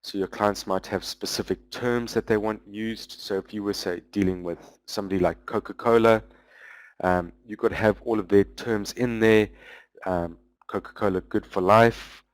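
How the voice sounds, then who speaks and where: Man, lowish voice, dry close sound, webinar presenter, explanatory, pauses with "um" often. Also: steady tone, dry recording. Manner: explanatory, walking through product features; loose, with frequent "um" pauses. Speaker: business development manager presenting a webinar.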